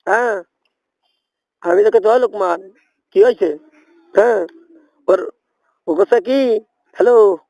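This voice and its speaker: High-pitched, creaky rural Bangladeshi prank caller, male. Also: warm tone, dry recording, rough recording. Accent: rural regional Bangladeshi. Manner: feigns confusion, repeats himself, haltingly evasive. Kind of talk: rambling prank phone call.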